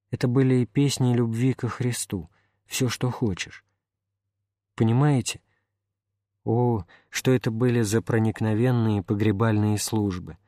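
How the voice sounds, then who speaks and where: A man reading a spiritual memoir aloud in the first person, calm and measured, like an audiobook narrator. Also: lowish voice, dry recording, studio quality. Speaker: reader.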